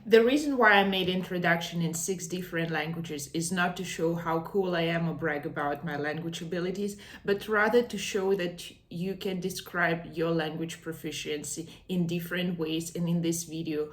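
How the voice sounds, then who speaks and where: A woman in her thirties from northern Russia who speaks English with a Russian accent, calmly explaining language skills as an English teacher, with the odd hesitant filler. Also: fairly low pitch, moderate pace, few pauses, echoey room. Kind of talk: language lesson to camera.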